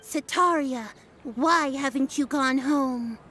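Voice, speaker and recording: A woman acting a haughty oracle who speaks for the gods in a video game, with theatrical, halting delivery. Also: high voice. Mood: solemn, halting. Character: haughty female oracle voicing the gods, grandly insistent. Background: game music.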